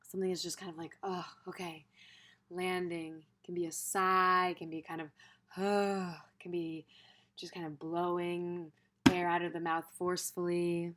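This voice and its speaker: A woman leading an unscripted guided meditation in a gentle, unhurried manner, pausing and letting phrases trail off softly. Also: on the low side, flat delivery, slight background noise.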